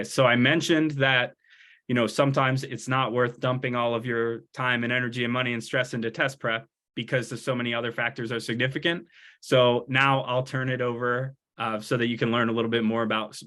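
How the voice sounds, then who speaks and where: A man's voice: medium pitch, little pitch movement, a little fast, pushed and bright. A man presenting in a webinar, explaining carefully with examples and analogies. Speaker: test prep presenter.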